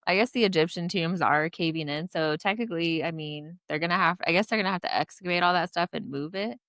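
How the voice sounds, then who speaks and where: A woman co-hosts a laid-back podcast, her voice carrying a gravelly edge. She rambles in easy chat and breaks into frequent laughs. Close and dry on the mic, with no room sound. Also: fairly low pitch, fast, fairly bright tone, no echo. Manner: chatty, laughs often, trails off. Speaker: podcast co-host. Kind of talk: casual chat.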